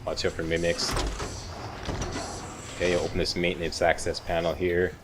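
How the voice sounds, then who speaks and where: A man with a deep voice hosts a game walkthrough. He talks casually, with folksy, wisecracking asides, over faint background noise and game ambience. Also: completely flat delivery, normal speed. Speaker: video game walkthrough host. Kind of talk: casual gameplay commentary.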